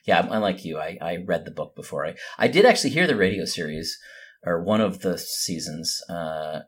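A man talking casually on a podcast, feeling out his thoughts in tentative, halting phrases. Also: deep voice, animated, breathy edge. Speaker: podcast co-host.

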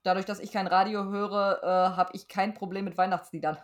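A woman's voice: mid-range voice, flat delivery, a little fast. A woman co-hosting a podcast, chatting informally and wryly. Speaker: podcast co-host. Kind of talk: casual chat, podcast sign-off.

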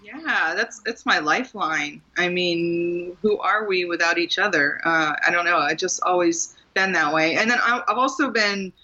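A middle-aged woman from Maryland, talking frankly about her own life and often laughing at herself. Her voice is bright and forward. The sound is a little muffled and lo-fi. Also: lowish voice, moderate pace, few pauses. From Maryland, USA. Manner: candid and self-deprecating, laughs often. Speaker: guest author. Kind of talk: casual interview conversation.

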